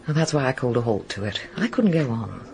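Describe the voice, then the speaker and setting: A woman's voice: very deep voice, big pitch swings, fast talker, a little mellow, exaggerated voice. An actress playing a guarded but candid single woman in a radio drama, confiding hesitantly. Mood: confessional. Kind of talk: naturalistic British radio drama acting.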